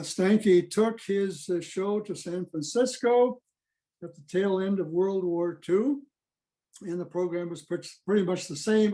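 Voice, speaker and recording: A man giving an informal history presentation on a video call, matter-of-fact and halting. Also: high-pitched, warm tone. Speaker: presenter at an old-time radio club meeting.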